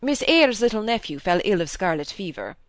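Woman reading as a dry, impatient middle-aged gentleman. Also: mid-range voice, sing-song, a little fast, no room sound, natural voice.

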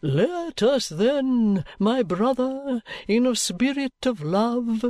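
A man voicing an oily, pompous male preacher who asks slow, drawn-out rhetorical questions. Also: very high-pitched, expressive, darkish tone, no echo, clean studio sound, pitched above the performer's own voice, exaggerated voice. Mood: unctuous.

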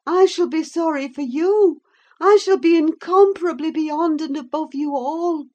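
A woman reader voicing a feverish, dying young woman who is yearning and proud, in a high, breathless, pleading voice. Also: measured pace, darkish tone, muffled recording.

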